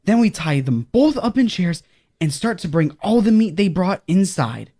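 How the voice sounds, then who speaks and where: A woman's voice: lowish voice, big pitch swings, normal speed, dark tone, husky. A woman voicing a scheming, sinister fixer who gives orders in a bossy, theatrical way in an audio horror comedy. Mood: commanding, scheming. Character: scheming, commanding, sinister fixer named Fred.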